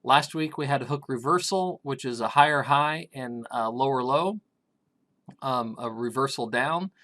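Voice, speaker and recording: A middle-aged man talks through grain price charts in a casual, halting way, filling gaps with ums and starting sentences over. His voice is raspy, and his pitch sits at an everyday middle level for a man. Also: bright, forceful tone. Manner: hesitant, many ums and restarts. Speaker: grain market consultant.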